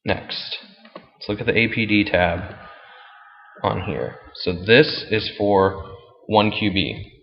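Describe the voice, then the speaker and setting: Guy with a deep voice doing an informal how-to walkthrough, really reverby room. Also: steady tone, slow pace, vocal fry, soft background music. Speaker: fantasy football league commissioner. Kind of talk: casual screen-share tutorial, explaining step by step. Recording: muffled, boomy room with long reverb.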